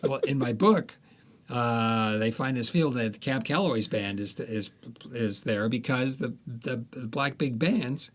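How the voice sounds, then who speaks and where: A man, an author being interviewed, talking through history in a relaxed, unhurried way with frequent fillers and restarts. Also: mid-range voice. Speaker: author guest.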